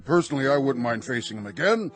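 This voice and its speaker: A man's voice: medium pitch, big pitch swings, fast talker, soft background music, lightly acted. An actor playing a solemn, self-important town sheriff who hedges to hide his cowardice, in a radio drama. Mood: uneasy, blustering.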